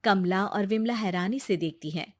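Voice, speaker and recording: A woman's voice: medium pitch, moderate pace, dry recording, clean studio sound. A woman narrating a scripted story as its storyteller.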